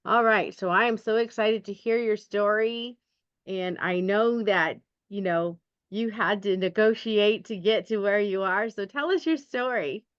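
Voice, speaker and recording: A woman hosting a podcast, briskly reading a guest's bio and inviting her story over a video call. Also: medium pitch, smooth, no echo. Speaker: podcast host. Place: room indoors. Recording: dull lo-fi video call audio.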